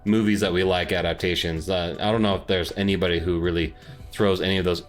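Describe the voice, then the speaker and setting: Man, slightly low voice, podcast host, casual chat, self-deprecating, echoey home office, music underneath. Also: steady tone, fast talker. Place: home office without soundproofing. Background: underlying background music.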